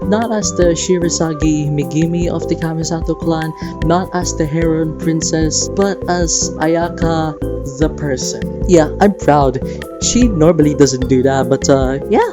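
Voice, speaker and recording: A woman's voice: low voice, a little slow. A woman giving casual, playful and enthusiastic fan commentary on a video game. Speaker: video creator hosting a game discussion. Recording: music behind, slightly dull sound.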